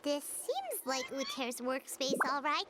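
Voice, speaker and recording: A woman voicing a small, excitable floating fairy girl for a video game, with a squeaky childlike voice and exclamatory delivery. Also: measured pace, heavy background noise, heavily acted. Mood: curious. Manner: excitable, exclamatory, wide-eyed. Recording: loud game music behind voice.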